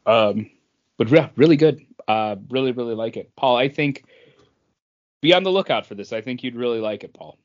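A man's voice: mid-range voice, expressive, normal speed, muffled recording. A man chatting casually as a podcast co-host. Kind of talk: casual chat.

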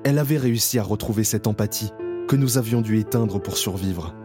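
A man's voice: fairly low pitch, close to an everyday voice. A man playing a weary camp survivor, narrating his memories in a subdued, reflective way in an audio drama. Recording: music playing behind the voice.

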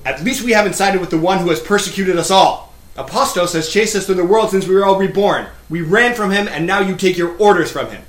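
A man's voice: high voice, brisk, few pauses, voice pushed up. A man voicing a smug, scheming male villain who mocks and menaces in a theatrical sneer. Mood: angry.

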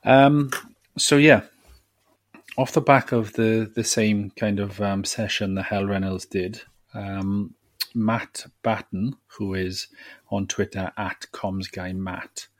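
Low-voiced man, dry and close. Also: takes it slowly, dry recording. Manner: relaxed, rambling with many fillers. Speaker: podcast co-host and editor. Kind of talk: casual chat.

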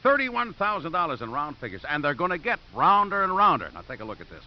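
A brash, wisecracking coach and dad in an old radio drama. His pitch jumps all over, and the recording is muffled with a hissy background. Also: big pitch swings, fast. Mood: eager. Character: gruff wisecracking father, a scheming college football coach. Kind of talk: 1950s radio drama acting.

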